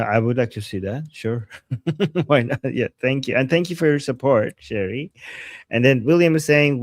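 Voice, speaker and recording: Co-host, male, from Iran: chuckly, stop-start delivery, mid pitch, dry close sound. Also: no room sound. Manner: chuckling, halting, restarts sentences. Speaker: co-host reading viewer chats. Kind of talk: casual livestream chat.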